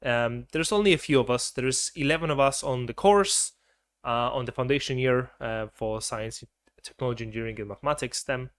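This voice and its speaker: Young male vlogger, casual and rambling, mid pitch, dry close recording. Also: a little fast, smooth, no echo. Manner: chatty, rambling, self-correcting. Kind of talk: casual chat to camera.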